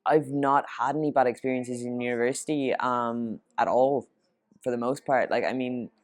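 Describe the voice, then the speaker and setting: A young Irish man speaks earnestly in a documentary interview. His pitch stays level and even, and his talk is broken by frequent ums and uhs. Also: moderate pace. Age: young adult. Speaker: student interviewee.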